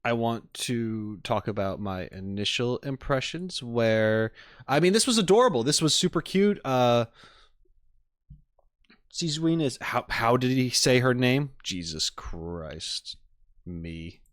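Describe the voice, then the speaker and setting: A man's voice: medium pitch, lively intonation, slow pace, dry recording, clean studio sound. A man streaming casual reaction commentary, thinking aloud informally as he talks to chat.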